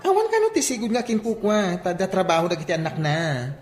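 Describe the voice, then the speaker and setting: Affectionate young husband, cheerful delivery, radio drama, roomy echo. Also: male voice, sits high, wildly swooping pitch, quick pace, some chatter behind. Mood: pleased.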